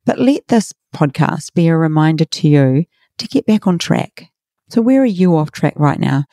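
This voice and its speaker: A middle-aged woman with a raspy, hoarse voice, hosting a motivational podcast in an earnest, encouraging way. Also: low voice, animated, moderate pace, mellow, dry recording, studio quality. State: hoarse from a workshop. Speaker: podcast host and coach.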